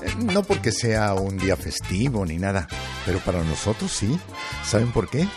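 A man's voice: fairly low pitch, sing-song, over music. A man hosting a radio call-in show, joking and playful, stretching out words for effect. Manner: playful, joking, drawn-out shouts. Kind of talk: lively radio call-in chat. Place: Los Angeles studio.

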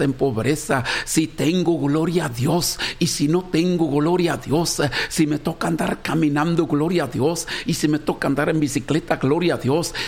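A man's voice: fairly high pitch, vocal fry, darkish tone, husky. A Latin American man preaching an impassioned, off-the-cuff sermon in a repetitive, exhortative way, calling on his listeners as brothers and sisters. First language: Spanish.

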